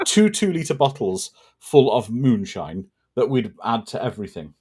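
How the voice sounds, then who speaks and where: A man's voice: mid-range voice, big pitch swings, unhurried, mellow, husky. A man co-hosting a casual film watch-party commentary, telling stories with wry asides. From moved to Wales as a child. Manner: chatty, storytelling, wry asides.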